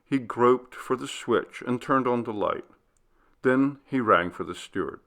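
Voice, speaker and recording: A man narrating a literary ghost story in a measured, deliberate storytelling manner. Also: mid-range voice, a bit bright. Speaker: audiobook reader.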